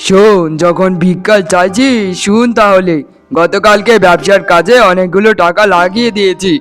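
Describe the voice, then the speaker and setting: A man's voice: high voice, moderate pace, soft background music, lightly acted. A man voicing an arrogant, heartless rich uncle in a melodramatic cartoon, mocking and scornful. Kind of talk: melodramatic Bengali cartoon voice acting.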